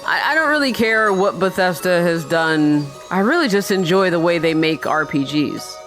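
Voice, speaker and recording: A woman's voice: on the low side, lively intonation, normal speed, fairly bright tone, soft background music. Woman rambling through a video game playthrough. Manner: rambling, thinking aloud, chatty. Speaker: gamer narrating her own playthrough. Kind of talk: casual let's-play commentary.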